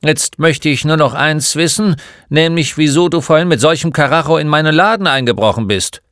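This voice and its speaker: A man playing a gruff, mocking old shopkeeper in a storybook, with a blunt, grumbling manner. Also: a little high, fairly quick, fairly bright tone, no room sound, clean studio sound, pitched above the performer's own voice, close to an everyday voice. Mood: gruff, curt. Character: gruff, mocking old male bookshop keeper. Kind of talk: storybook character voice.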